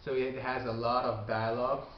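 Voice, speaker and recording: A man who is not a native English speaker, giving casual advice on learning a language, talking haltingly with many fillers and restarts. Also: mid-range voice, level tone. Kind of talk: casual video response. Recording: echoey room, dull lo-fi sound, faint hiss.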